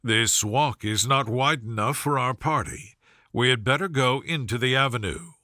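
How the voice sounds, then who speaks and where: Narrator doing a reserved posh-mannered gentleman, gallant and formal, dry close recording. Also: medium pitch, moderate pace, no echo, natural voice. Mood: polite. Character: proud, courteous young gentleman, reserved and gallant.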